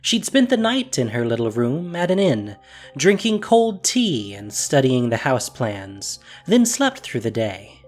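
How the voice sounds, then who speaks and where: A man narrates a fantasy tale in a scripted storytelling style. His mid-range voice rises and falls in a lively way. Soft music runs beneath the narration. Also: mid-range voice, a little slow. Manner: expressive storytelling.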